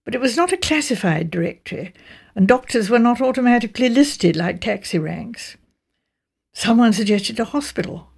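A woman with a Received Pronunciation British accent, reading a mystery novel as scripted narration in a measured, precise way. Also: on the high side, expressive, vocal fry, husky. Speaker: audiobook narrator.

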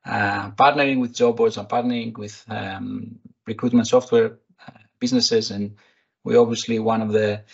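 A man with a Greek accent, a recruitment technology executive answering interview questions in a halting way, full of fillers and restarts. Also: fairly low pitch, fairly even intonation, gravelly, husky, muffled recording. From Greece. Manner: hesitant, many fillers and restarts.

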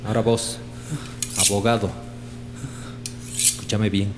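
Bolivian butcher crime boss, low menacing voice, deliberate, hum and clattering dishes behind. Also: male voice, little pitch movement. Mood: menacing, aggrieved. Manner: deliberate, threatening, rhetorical questioning. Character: menacing crime-boss butcher, gluttonous, foul-mouthed man. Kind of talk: radio drama acting. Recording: clearly noisy background hum and clatter of dishes.

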